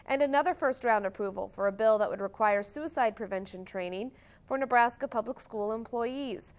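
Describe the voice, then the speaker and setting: A woman's voice: fairly high pitch, clear, resonant tone. An American woman anchoring a morning newscast, reading scripted news in a TV studio.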